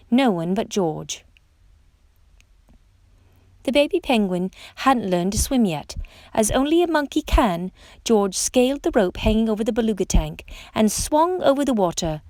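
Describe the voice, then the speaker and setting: A woman reads a children's story aloud in an expressive storytime style. Her pitch moves a great deal, with dramatic emphasis on exclamations and questions. The recording is dry, with hardly any room sound around her voice. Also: no room sound. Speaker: storytime reader. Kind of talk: read-aloud children's picture book narration.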